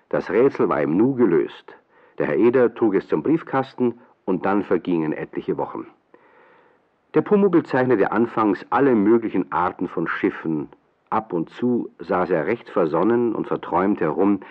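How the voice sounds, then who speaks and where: Deep-voiced, animated male storyteller. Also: dull audio. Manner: measured, expressive storyteller with deliberate pauses. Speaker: narrator of a children's radio play. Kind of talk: scripted storytelling narration for children.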